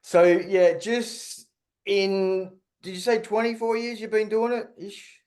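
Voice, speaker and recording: A man's voice: high voice, flat delivery, takes it slowly, darkish tone. A middle-aged man, hosting a podcast in a casual, conversational interview style. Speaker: podcast host.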